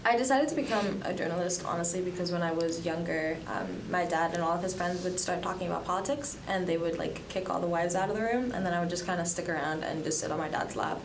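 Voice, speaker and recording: A young woman from the Dominican Republic and Colombia talks through her answers casually, full of hedges and fillers. Her voice sits a little low, and she sounds set back from the microphone in a reverberant room. A steady noise runs under the recording. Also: fast talker, hardly any pauses. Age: young adult. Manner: chatty, many fillers and hedges. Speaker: student journalist guest. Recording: echoey room, distant from mic, noisy.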